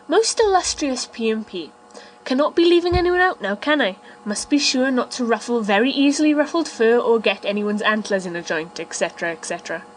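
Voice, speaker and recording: A woman with a fairly high voice reads a letter aloud in a dry, clipped way. Faint noise hums behind her. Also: poor audio. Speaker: podfic reader. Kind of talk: reading a fan story letter aloud.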